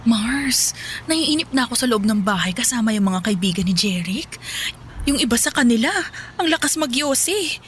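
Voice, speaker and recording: An actress playing a frank, flirty mature widow with a Filipino accent in a romantic radio drama. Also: female voice, on the high side, expressive, moderate pace, slight background noise, natural voice. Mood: bored, complaining. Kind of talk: Tagalog radio drama acting.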